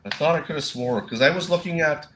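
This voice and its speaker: A man with a Minnesota accent hosting a casual live whiskey chat, rambling and laughing, clearing a frog from his throat. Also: a little high, fast talker, a bit bright, a little hiss or hum, poor audio. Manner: laid-back, rambling, laughs often. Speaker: whiskey show host.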